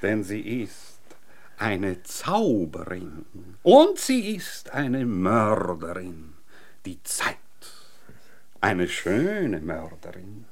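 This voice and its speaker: An actor playing an eccentric, pompous scientist in an absurd radio drama, lecturing theatrically. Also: male voice, sits low, very animated, extremely slow, full character voice. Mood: solemn, poetic. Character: eccentric, naked time-theorist scientist back from apparent death.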